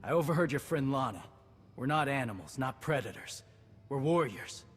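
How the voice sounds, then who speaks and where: Honorable young warrior; earnest, proud, echoey space. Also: male voice, mid-range voice, animated, moderate pace, breathy edge, soft background music.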